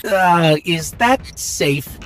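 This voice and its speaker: A man playing an earnest, caring, curious robotic companion in a sci-fi video game, gentle and inquisitive. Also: sits high, lively intonation, extremely slow, music in the background, heavily acted. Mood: curious, worried. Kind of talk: video game character dialogue.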